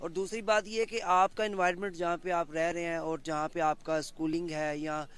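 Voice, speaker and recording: A man's voice: a little high, little pitch movement, normal speed, a bit bright, clear, resonant tone. A man giving his opinion in an interview, thoughtful with many fillers. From Pakistan. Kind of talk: casual opinion.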